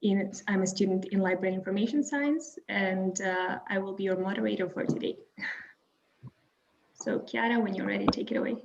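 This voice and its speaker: A woman's voice: mid-range voice, brisk, vocal fry, slight background noise. Hesitant woman moderating a video call. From Croatia. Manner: hesitant, many fillers, friendly. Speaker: webinar moderator. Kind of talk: online webinar moderation. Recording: muffled video call audio.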